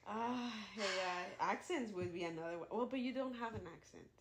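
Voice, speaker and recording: A woman's voice: medium pitch, moderate pace, background noise. A woman co-hosting a podcast in casual chat, easygoing and good-humored, poking fun at herself. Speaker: podcast co-host.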